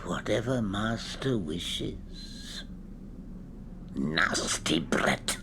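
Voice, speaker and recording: An actor playing a bitter, grovelling old servant elf in a video game, muttering spitefully. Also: male voice, low voice, animated, background noise, heavily acted. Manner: grovelling yet spiteful, drawn-out. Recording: music behind the voice.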